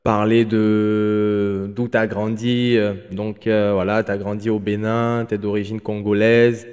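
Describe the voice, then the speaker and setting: A man's voice: on the low side, little pitch movement, slow, darkish tone, smooth, long reverb tail. A man hosting a podcast interview, asking questions in a friendly, encouraging way with some fillers. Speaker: podcast host. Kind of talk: casual interview.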